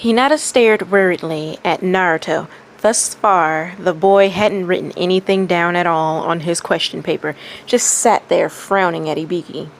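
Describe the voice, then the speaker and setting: Lower-pitched woman reading stories aloud. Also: normal speed, poor audio. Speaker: fan fiction reader and commentator. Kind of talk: casual story-time talk and read-aloud narration. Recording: faint background noise.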